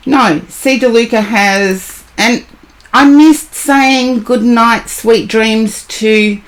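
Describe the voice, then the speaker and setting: A hoarse woman talks to her live-stream chat in a relaxed, unhurried way. Her voice sits a little high, and she greets viewers by name as she goes. The talk is casual and rambling, with lots of small asides. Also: poor audio. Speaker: live-stream tarot reader.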